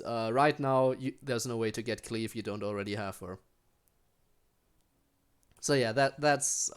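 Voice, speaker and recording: Native German speaker, young adult man with a German accent, casual streamer, mid-pitched and resonant, full of ums and restarts. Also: clear, resonant tone. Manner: chatty, answers chat, frequent ums and restarts. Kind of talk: casual live-stream chat while gaming.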